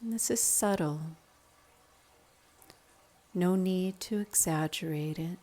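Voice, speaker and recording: A woman with a slightly low voice guides a meditation at a very slow pace. Her delivery is calm and measured, giving gentle step-by-step instruction.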